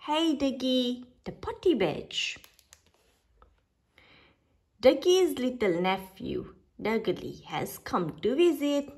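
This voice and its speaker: Woman, storybook read-aloud, high voice, very animated intonation, short phrases with pauses. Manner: slow, expressive, pausing after short phrases. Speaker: storybook reader. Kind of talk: children's picture book read-aloud.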